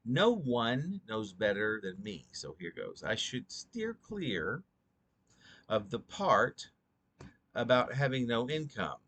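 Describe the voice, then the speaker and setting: A drowsy man reading his own poetry slowly and deliberately, stumbling and repeating phrases as he goes. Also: medium pitch, very animated, fairly bright tone. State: drowsy, short on sleep. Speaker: poet reading own work. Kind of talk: slow, halting poetry reading.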